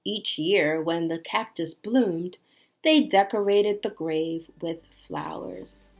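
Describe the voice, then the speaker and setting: Unhurried woman reading a children's storybook. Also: lowish voice, a little mellow. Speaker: teacher reading a storybook aloud. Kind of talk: children's read-aloud with chatty asides.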